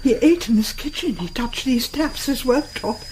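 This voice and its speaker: A woman's voice: sits high, expressive, moderate pace, a little mellow, a little hiss or hum, low-quality recording. An actress playing an inquisitive, chatty widow in her seventies in a British radio play, with genteel, probing phrasing. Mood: shaken, intrigued. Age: 70s. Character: inquisitive, chatty seventy-two-year-old widowed woman neighbour.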